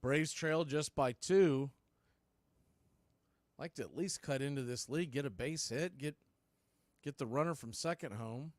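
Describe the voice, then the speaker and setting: A man's voice: mid-range voice, measured pace, pauses often, no echo. A man giving live play-by-play commentary on a baseball game as the radio announcer.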